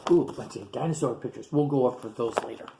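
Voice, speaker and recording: A man who teaches young children, reading a picture book aloud in a playful, animated way with gasps and chatty asides. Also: mid-range voice, quick pace, creaky, background noise. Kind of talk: storybook read-aloud for children.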